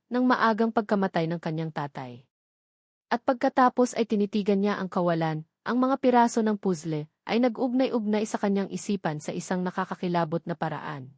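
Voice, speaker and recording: A woman's voice: medium pitch, darkish tone, no room sound, studio quality. A woman narrating a scripted love story in a solemn, suspenseful, dramatic manner.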